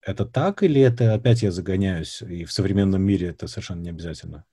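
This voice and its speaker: A man's voice: low voice, fast talker, mellow, husky, no room sound. A man hosting an interview, thoughtfully asking long searching questions. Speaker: interview host. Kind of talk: conversational interview.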